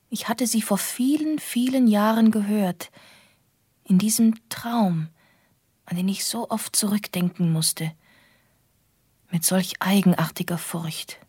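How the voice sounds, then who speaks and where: A young woman playing an earnest, sensitive young lady in a German gothic radio drama, with a breathy voice. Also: medium pitch, darkish tone, no echo, clean studio sound. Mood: haunted, hushed. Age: young adult.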